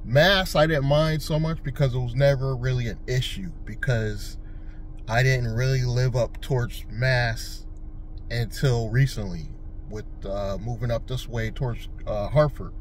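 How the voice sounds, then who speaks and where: Man, from Connecticut, rideshare driver, casual rambling vlog talk, middle pitch, faint background noise. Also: steady tone, unhurried. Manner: rambling, conversational, with frequent fillers and restarts. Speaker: rideshare driver and channel host.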